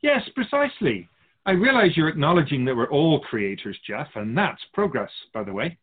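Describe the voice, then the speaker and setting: A man playing an otherworldly, wise spiritual guide in a radio drama, measured and instructive with gentle amusement. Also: fairly high pitch, big pitch swings, breathy edge. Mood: wise, gently encouraging. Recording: slightly muffled, phone-like narrow sound.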